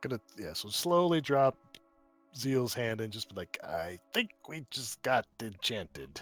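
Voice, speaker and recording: A man's voice: mid-range voice, expressive, measured pace, a bit bright. A man casually role-playing as a player at a tabletop game, with faint music behind him.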